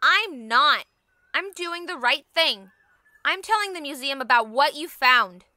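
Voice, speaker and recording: A woman playing an earnest, hesitant girl in an audio drama, speaking haltingly and sincerely. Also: high voice, lively intonation, bright, forceful tone, no room sound, studio quality, full character voice. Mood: hurt, indignant. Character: earnest, hesitant girl, young research captain and gamer.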